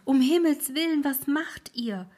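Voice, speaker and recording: A woman's voice: mid-range voice, animated, a little slow, a little mellow, slightly rough. A woman voicing an alarmed old ferryman. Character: old male ferryman, patient but alarmed.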